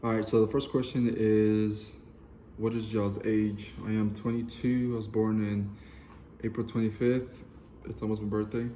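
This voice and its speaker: Laid-back man, low mellow voice. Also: little pitch movement, moderate pace, slight background noise. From Denver City, Texas. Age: 20s. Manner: laid-back, joking, swears casually. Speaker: co-host of a couples channel. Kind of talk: casual chat while eating.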